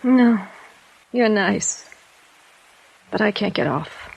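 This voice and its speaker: Husky, creaky, resigned tragic woman. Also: mid-range voice, animated, takes it slowly, exaggerated voice, poor audio. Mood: anguished, resigned. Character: tragic, devoted woman confessing, quietly resigned. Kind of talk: 1950s American radio drama acting. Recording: vintage 1950s radio recording.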